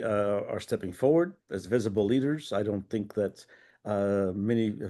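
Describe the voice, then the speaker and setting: A man moderates a panel in a low, mellow voice. His manner is jokey and rambling as he poses questions to the guests. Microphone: video call.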